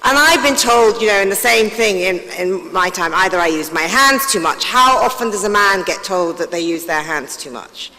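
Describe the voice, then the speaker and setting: Woman, loud voice, bright and forceful, emphatic, hall echo. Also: mid-range voice, normal speed, few pauses. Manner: emphatic, rhetorical questions. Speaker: panelist. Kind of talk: panel answer. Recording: long reverb of a conference hall.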